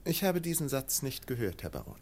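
An actor playing a haughty, grandiose English lord in a radio drama, speaking with aloof, deliberate theatricality. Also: male voice, mid-range voice, very animated, a little mellow. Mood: icy. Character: haughty, theatrical English lord, smooth self-appointed benefactor.

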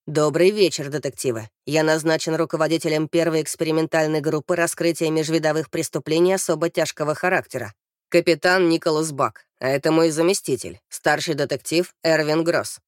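A woman voicing a stern, bearded male police captain from an audiobook, formal and commanding with crisp diction. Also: low-pitched, fairly even intonation, brisk, dry recording, studio quality, voice pushed down, lightly acted. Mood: formal, authoritative.

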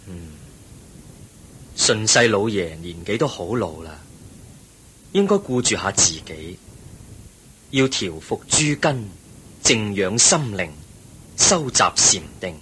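Against a clear rumbling noise, a wise Buddha figure in a radio drama, speaking very slowly with a Hong Kong Cantonese accent. His teaching is patient and calm, yet the intonation rises and falls widely. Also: heavily acted. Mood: calm concern. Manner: measured, instructive, explaining patiently. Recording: noisy recording with low rumble.

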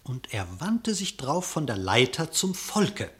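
A man narrating a literary radio play in verse, with measured, dramatic storytelling. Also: on the high side, sing-song.

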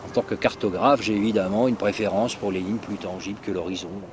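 A man's voice: on the low side, steady tone, fast talker. A man speaking in a formal, considered way as a documentary interviewee. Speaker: cartographer being interviewed. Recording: outdoor waterside sound, clear background noise.

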